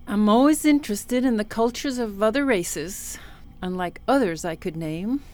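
A woman's voice: mid-range voice, lively intonation, normal speed, a little mellow, natural voice. A woman playing a vain, competitive ship's counselor in a parody radio play. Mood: catty.

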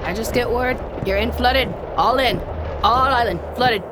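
An actor playing an obliging island cab driver who speaks halting, broken English in a radio play. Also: male voice, very high voice, music in the background. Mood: apologetic. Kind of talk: radio theatre acting.

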